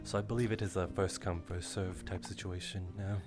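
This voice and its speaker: A man playing a composed, courteous tavern bard in improvised fantasy roleplay, with measured, formal phrasing. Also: deep voice, steady tone, natural voice. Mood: politely amused. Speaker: roleplay streamer. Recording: loud music behind the voice.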